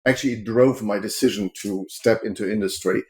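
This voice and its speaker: A middle-aged man from Germany, a healthcare consultant, answering interview questions in a measured, explanatory way with frequent fillers. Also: fairly low pitch, fairly even intonation.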